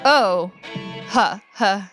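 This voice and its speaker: A woman's voice: medium pitch, very slow, a bit bright. A woman voicing a sulky, awkward young male dragon who is eager for approval and talks hesitantly, in a story reading. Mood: awkward, unsure. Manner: hesitant, sheepish teenage talk. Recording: music bed under the reading.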